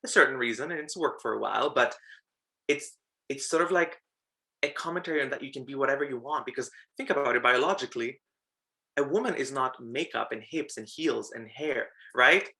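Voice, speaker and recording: A man's voice: mid-range voice, brisk, vocal fry, sharp tone. A young man from Greece, a drag performer, talking casually in an interview, chatty with frequent fillers and laughs.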